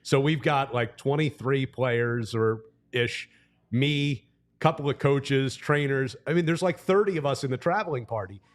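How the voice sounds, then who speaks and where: A man's voice: medium pitch, normal speed. A man who works as a sports broadcaster, telling stories casually as a podcast guest. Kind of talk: casual interview storytelling.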